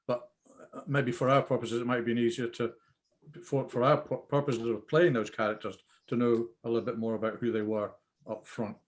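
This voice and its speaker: Man, average pitch, informal feedback, hesitant, rambling, repetitive. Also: little pitch movement, fairly quick. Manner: hesitant, rambling, repeats himself. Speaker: script reader giving feedback. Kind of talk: informal critique discussion.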